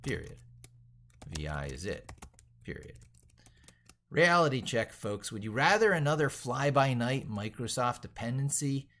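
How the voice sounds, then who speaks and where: A man thinking aloud as he drafts an opinionated tech piece, halting, repeating and reworking his phrases with emphatic points. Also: medium pitch, lively intonation, a bit bright, a little hiss or hum. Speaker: tech educator and video creator.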